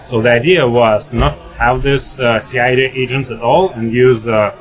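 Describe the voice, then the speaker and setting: A man's voice: medium pitch, steady tone, moderate pace, soft background music. A man explaining a technical idea in a casual chat, full of "like" and "uh". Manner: heavy use of "like" and "uh". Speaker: panel guest.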